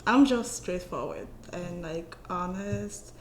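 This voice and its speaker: A woman in her twenties from Cameroon, a guest in a casual interview, speaking softly and hesitantly with many filler words. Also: lowish voice, slow, echoey room, a little hiss or hum. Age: 20s.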